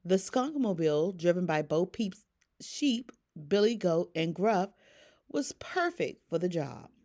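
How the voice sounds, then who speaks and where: Female children's storyteller, lowish pitch, unhurried and expressive read-aloud delivery.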